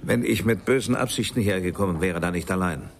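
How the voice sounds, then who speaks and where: An actor playing a shrewd police inspector, genial but probing, in a radio crime drama with some noise behind. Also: male voice, sits low, fast, lightly acted. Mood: probing, confident. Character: shrewd, jovial male police inspector, mock-stern.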